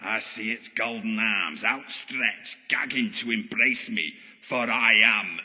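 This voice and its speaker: An actor playing a deranged, gloating Yorkshire villain who believes himself a god, sneering in mock-genteel, foul-mouthed fashion in an audio drama. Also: male voice, takes it slowly, sharp tone, heavily acted. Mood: manic, grandiose. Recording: muffled, long booming hall reverb.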